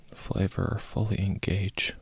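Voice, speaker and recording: A man guiding a meditation in a calm, unhurried, soft-spoken way, with long pauses and a husky, gravelly voice. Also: low voice, no room sound. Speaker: guided meditation teacher. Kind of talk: guided meditation, slow instructional narration.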